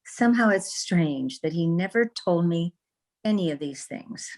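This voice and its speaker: Actress doing proper romantic radio heroine, talks real slow w lots of swoops, tender n ladylike. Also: fairly low pitch, animated, darkish tone. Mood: guarded, intrigued. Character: poised, romantic newspaperwoman, smitten but proper. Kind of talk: old-time radio romance acting. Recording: video-call radio play recording.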